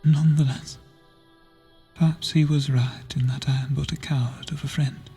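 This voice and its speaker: A man playing a gentle, guilt-ridden lantern bearer in a fantasy video game, speaking softly in old-fashioned phrasing, half-whispered, hesitant and solemn. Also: medium pitch, fairly even intonation, moderate pace, soft background music. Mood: haunted, remorseful. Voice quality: breathy, husky, half-whispered. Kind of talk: soft-spoken video game NPC dialogue, theatrical archaic phrasing.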